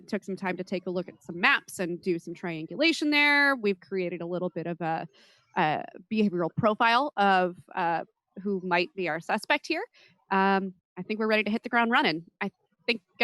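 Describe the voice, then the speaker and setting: A woman's voice: medium pitch, pushed and bright, dry recording. A woman playing a composed federal agent who leads her team in a tabletop game, speaking politely and officially.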